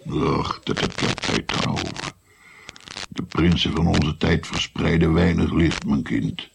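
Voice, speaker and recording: An actor playing a wry old grandfather recounting his past in a radio drama, with a gravelly voice. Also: a bass voice, unhurried, background noise, exaggerated voice. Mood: musing.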